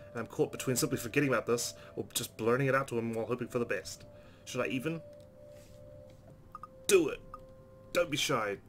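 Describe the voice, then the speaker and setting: A man hosting a let's play, reading visual novel narration aloud expressively and adding his own commentary. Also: medium pitch. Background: soft game music.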